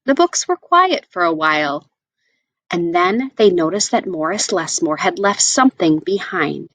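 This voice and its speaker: A woman reading a picture book aloud to children, with expressive, deliberate phrasing. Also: fairly low pitch, no echo. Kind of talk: read-aloud storytelling for children.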